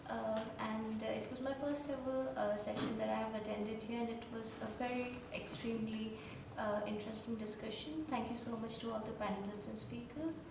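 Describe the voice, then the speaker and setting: Young woman student, bright higher voice, far from the mic in an echoey hall. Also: fairly even intonation, moderate pace, vocal fry. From India. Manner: polite, eager questioning. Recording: echoey hall, distant mic, background noise.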